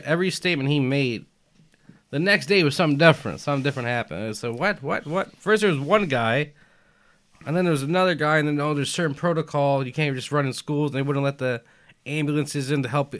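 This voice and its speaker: Animated man in casual talk, lively pitch. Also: fairly quick. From United States, family from Albania. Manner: animated, emphatic, swears casually, says man and dude. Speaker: podcast guest. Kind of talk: casual chat.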